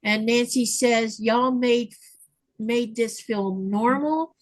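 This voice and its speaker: A woman's voice: on the high side, slow. Chatty woman host reading comments. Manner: reads viewer comments aloud, chatty with fillers. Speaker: livestream host reading chat. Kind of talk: casual chat. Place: home livestream. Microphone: livestream mic.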